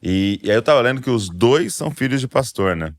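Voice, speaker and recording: A Brazilian man hosting a faith podcast, talking in a relaxed, rambling way with lots of fillers. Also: medium pitch, a little fast. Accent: Brazilian Portuguese. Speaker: podcast host. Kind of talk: casual chat.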